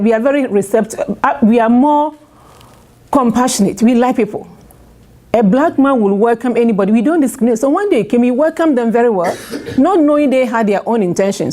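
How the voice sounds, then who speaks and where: A woman's voice: fairly high pitch, dark tone. An older woman from Nigeria who grew up in Ghana, talking casually to an audience at a book event, with frequent fillers and restarts. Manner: conversational, many fillers and restarts. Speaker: author speaking at a book event. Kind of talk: unscripted personal talk to an audience.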